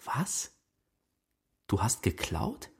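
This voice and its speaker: A man's voice: extremely slow, in a whisper. An actor playing a stern, disbelieving father in a children's radio play, speaking in a terse, stiff way. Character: stern, disbelieving father questioning his wife.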